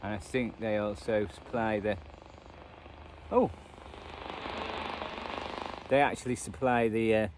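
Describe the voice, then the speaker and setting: A man's voice: on the low side, steady tone, takes it slowly, pauses often, a little mellow. A man giving casual, unscripted commentary as a hobbyist host, rambling and self-deprecating in manner. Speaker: radio hobbyist and video host. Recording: noisy outdoor recording, radio static and traffic.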